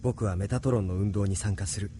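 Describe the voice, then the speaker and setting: A man playing an earnest, resolute young space pilot in a Japanese anime audio drama, speaking gravely and sincerely. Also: fairly low pitch, brisk, dark tone, slight background noise, lightly acted. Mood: solemn, tender. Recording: studio drama with background music.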